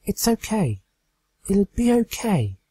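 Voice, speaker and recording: A man voicing a timid, gentle young female pegasus pony, soft, breathy and hesitant. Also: high voice, very animated, slow, dry recording, voice pushed up, exaggerated voice. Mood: resigned.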